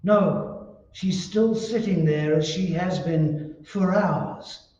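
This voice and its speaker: A man playing a nervous, resentful henpecked husband in old-time radio horror style, with halting, repetitive phrasing. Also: high-pitched, a little mellow. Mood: falsely soothing, nervous. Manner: halting, repeating words. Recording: muffled, echoey hall, far from mic.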